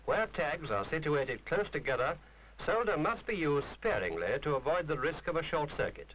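A man's voice: a little high, big pitch swings, normal speed, a bit bright, slight background noise, rough recording. A man reading a scripted instructional film narration in a formal, precise, didactic manner.

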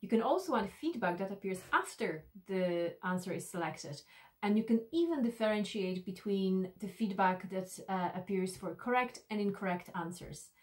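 A woman's voice: medium pitch, darkish tone. A woman in her thirties narrating an instructional tutorial as an e-learning instructor, explaining things directly to the viewer.

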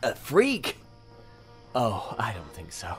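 A man's voice: on the low side, wildly swooping pitch, a bit bright, soft background music, heavily acted. An actor playing a sinister, self-righteous serial killer in an audio drama, taunting and theatrical as he philosophizes. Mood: taunting, menacing. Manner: theatrical, deliberate, taunting menace with whispered dips. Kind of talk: audio drama villain monologue.

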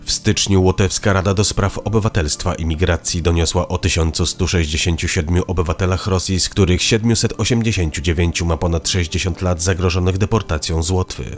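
A man reading scripted news narration in an even, measured way as a voice-over. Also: sits low. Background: faint background music.

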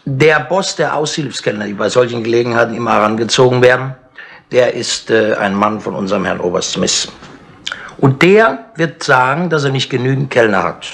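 An actor playing a wry, cajoling police inspector in a 1960s radio crime play, talking in a sardonic, persuasive way. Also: male voice, medium pitch, expressive, normal speed, creaky, fairly bright tone. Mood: brisk, businesslike.

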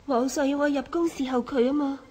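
A woman plays a young palace beauty, demure and sweetly coquettish. Her very high voice carries a period radio drama, with faint music behind. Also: fairly even intonation, a little fast, mellow, lightly acted. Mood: demure, hesitant. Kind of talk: Cantonese historical radio drama acting.

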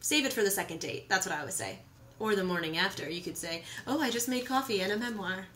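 Female vlogger, thirties, bright voice at medium pitch, chatty and animated with playful asides. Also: fast, slight background noise. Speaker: vlogger and musician. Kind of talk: casual chatty vlog to camera. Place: small home kitchen, indoors.

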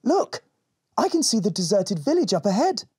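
A man voicing a bold, bossy but encouraging young elf girl from a storybook, brisk and urging. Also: sits high, sing-song, warm tone, slightly rough, dry recording, clean studio sound, voice pushed up, exaggerated voice.

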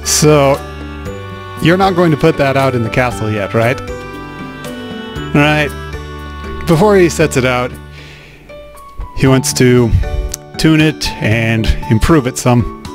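A man's voice: medium pitch, takes it slowly, long pauses. A man hosting a let's play, translating and commenting on a game in a casual, wry way. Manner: casual, wry, dry humor. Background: game music.

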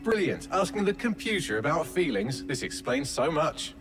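A man's voice: fairly high pitch, big pitch swings, moderate pace, over music. A man voicing a witty, unflappable artificial intelligence in a video game, with a British accent and a sardonic manner. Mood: sarcastic. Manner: sardonic, dry wit. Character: witty, sarcastic male AI assistant, unflappable. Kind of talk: video game cutscene acting.

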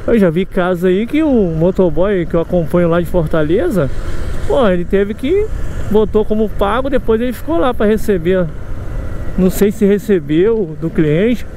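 Carioca male courier-vlogger, high voice, chatty rant to camera, road noise under him. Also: animated, normal speed, mellow. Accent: Carioca Brazilian Portuguese. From Brazil. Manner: chatty, venting, addresses viewers directly. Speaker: motorcycle delivery courier vlogger. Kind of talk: casual talk to camera while riding. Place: riding a motorcycle on city roads outdoors. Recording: road and traffic noise behind.

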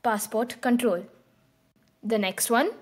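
A woman hosting her own channel, giving a scripted beginner lesson with calm, clear prompts. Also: a little high, takes it slowly. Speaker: language teacher and channel host.

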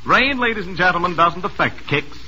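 A man with an American accent delivers a vintage radio commercial. His voice is rather high and animated, with a salesman's polished emphasis. The old broadcast has faint noise. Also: fairly quick, low-quality recording. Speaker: radio announcer, pitchman. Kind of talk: 1940s radio commercial announcing.

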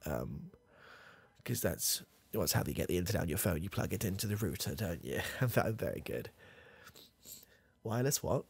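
A man's voice: low-pitched, sing-song, fairly quick, gravelly, darkish tone, slightly rough, dry recording, exaggerated voice. A man doing a muddled, proud British grandad. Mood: rambling. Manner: rambling, hesitant, full of fillers and restarts. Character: muddled, proud old grandad, clueless with technology. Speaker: ASMR comedy creator. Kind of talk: comedy ASMR roleplay. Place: small dim room with coloured lights. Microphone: close mic, face near camera.

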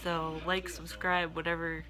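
Young woman, lowish voice, congested nose, chatty and a bit awkward, phone-recorded vlog. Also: level tone, measured pace, over music. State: stuffy nose. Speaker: vlogger and gym co-owner. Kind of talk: casual vlog to camera. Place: indoors, small room. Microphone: phone at arm's length.

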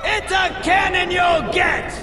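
A man's voice: very high voice, steady tone, takes it slowly. A man playing a boastful, brash champion of explosives in a video game, with a bombastic, theatrical, taunting manner. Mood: cocky taunting. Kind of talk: animated video game acting. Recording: echoing, loud music and crowd behind.

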